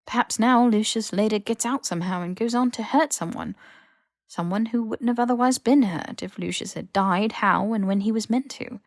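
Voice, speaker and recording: A woman's voice: fairly high pitch, normal speed, no echo, clean studio sound, voice pushed up, close to an everyday voice. A woman voicing an earnest, cautious, analytical young British woman in an audiobook story. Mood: worried, reasoning. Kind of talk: audiobook character dialogue.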